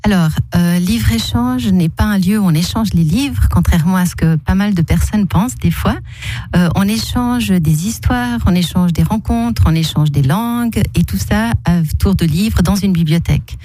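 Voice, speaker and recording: A woman's voice: fairly low pitch, normal speed, dark tone, no room sound. A woman of about sixty, Portuguese by origin, recalling memories thoughtfully with many hesitations as a guest in a radio studio. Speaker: library director, radio guest. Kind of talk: casual radio interview. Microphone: studio microphone.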